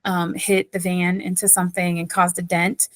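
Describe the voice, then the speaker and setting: A woman's voice: fairly low pitch, flat delivery, normal speed, no room sound. A woman pastor from southeastern North Carolina, telling stories as a guest in a casual interview with lots of fillers and frequent laughter. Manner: chatty, laughs often, many fillers.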